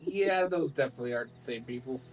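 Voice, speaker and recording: A man playing a tabletop game, joking and talking in a slow, drawn-out way. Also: medium pitch, animated. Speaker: player. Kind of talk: casual tabletop game chat. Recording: distant mic with music behind.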